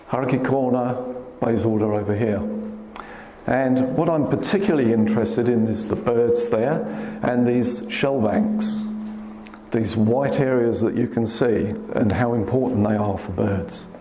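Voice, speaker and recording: A man with a quiet voice, giving a public talk about birds, gently pointing out places on his slides. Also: mid-range voice. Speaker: guest speaker, wildlife photographer. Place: hall at a club meeting. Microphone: speaker's microphone. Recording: echoey hall, faint room noise.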